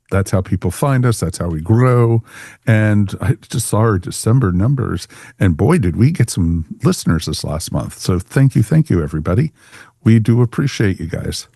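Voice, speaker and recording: A man from the United States hosts a casual podcast. His voice sits fairly low and is recorded very dry. He rambles along in a chatty, welcoming way. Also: a little mellow, dry recording. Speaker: podcast host.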